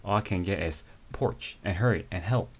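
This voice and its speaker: A man voicing a big, easygoing male rescuer, telling his story in a halting amateur comic reading. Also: deep voice, husky.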